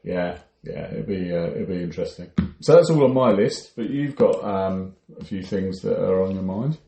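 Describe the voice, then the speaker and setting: A man's voice: sits low, expressive, moderate pace, gravelly, warm tone. A man chatting casually as a podcast co-host, with a dry, understated manner. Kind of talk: casual chat. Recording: echoey, roomy sound.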